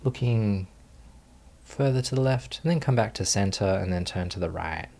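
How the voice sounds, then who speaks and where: A man leading a guided meditation as a teacher, speaking gently and calmly, unhurried, with long pauses between phrases. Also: lowish voice, vocal fry.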